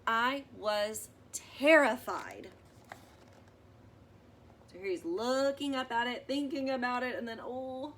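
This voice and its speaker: A woman reading a children's picture book aloud expressively, pausing to explain things as if to young children. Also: a little high, a bit bright, a little hiss or hum. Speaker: storybook reader.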